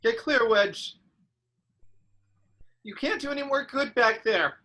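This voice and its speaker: A man's voice: very high voice, takes it slowly, pushed and bright, full character voice. A man playing a brave, determined young starfighter pilot in a dramatic script read, giving urgent commands.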